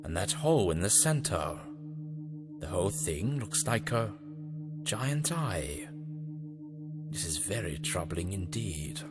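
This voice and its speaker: A man's voice: low-pitched, sing-song, slow, pauses often, full character voice. A man playing an eccentric, fretful time traveller who mutters to himself hesitantly and exclaims in an audio drama. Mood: troubled, puzzled. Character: eccentric, fretful male time-travelling scientist, talking to his machine. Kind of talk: fan audio drama acting. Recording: music under the voice.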